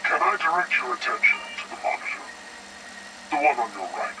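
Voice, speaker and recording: An actor playing a cold, sadistic male interrogator in a video game, speaking in a measured, mock-polite, menacing way. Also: a little high, big pitch swings, noisy background. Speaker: villain character in a video game. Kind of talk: scripted cutscene dialogue.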